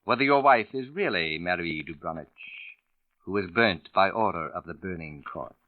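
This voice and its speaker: An actor playing a suave, condescending writer and sleuth in a 1940s radio drama, speaking with pompous, theatrical precision. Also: male voice, low-pitched, lively intonation, moderate pace, no echo. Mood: superior, revealing. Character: suave, condescending male writer turned shrewd accusing sleuth. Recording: dull vintage radio recording.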